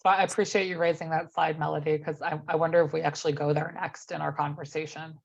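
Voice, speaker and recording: Low-voiced woman with a cold running a zoom meeting, polite, lots of ums. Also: little pitch movement, vocal fry, breathy edge. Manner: measured, courteous, with frequent ums. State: has a cold, losing her voice. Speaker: meeting facilitator. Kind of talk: facilitating an online policy meeting. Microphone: video call. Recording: dull lo-fi video call audio.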